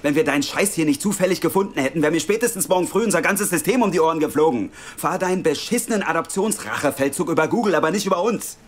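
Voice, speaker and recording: A man's voice: fairly high pitch, expressive, fast talker, hardly any pauses. An actor playing a furious male boss who swears and gives harsh orders, in a radio play.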